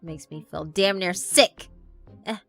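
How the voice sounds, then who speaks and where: A woman's voice: fairly low pitch, takes it slowly, fairly bright tone, faint music behind. A woman voicing an arrogant, foul-mouthed evil double of a girl, scheming smugly in a visual novel. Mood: smug menace.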